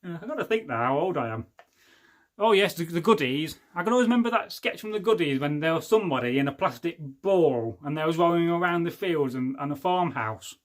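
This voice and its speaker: Rambling Englishman, slightly high voice. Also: animated, brisk. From England. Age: 40s. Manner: rambling and jokey, often losing his thread. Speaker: livestream host. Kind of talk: casual livestream chat reading viewer comments.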